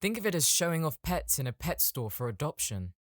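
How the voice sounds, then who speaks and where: A man's voice: a little high, big pitch swings, brisk, no echo, clean studio sound. A man playing a knowing, eager young spellcaster in a game-world audiobook, explaining things with a slightly showy manner. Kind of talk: audiobook character acting.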